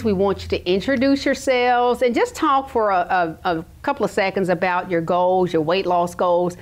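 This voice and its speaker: A woman in her fifties hosts a talk show with an effusive, gushing manner. She keeps the chat moving with plenty of praise for her guests. Her voice sits at an ordinary middle pitch. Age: 50s. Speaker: show host.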